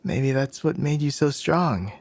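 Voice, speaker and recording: A man's voice: medium pitch, expressive, measured pace, darkish tone, no echo. A man playing a cocky, teasing young warrior in an ASMR roleplay, smug and playfully possessive toward the listener. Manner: teasing, smug, breathy sighs and chuckles.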